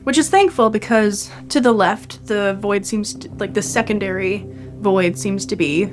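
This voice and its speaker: A woman narrating an improvised tabletop game as game master, pausing to think as she describes the scene. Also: mid-range voice, faint music behind. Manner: halting, thinking aloud.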